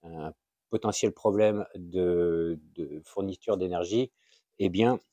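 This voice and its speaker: A man giving an informal, unscripted explainer as a video host, halting and often searching for words. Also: deep voice, warm tone, no room sound. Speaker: YouTube channel host sharing a tip.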